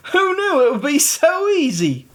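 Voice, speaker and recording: A cheerful, clumsy egg of a handyman, put on by a woman who yelps and marvels at every mishap. The voice sits high and bounces around, and a clicky hiss of noise runs under the recording. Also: unhurried. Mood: cheery. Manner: excitable, exclaiming.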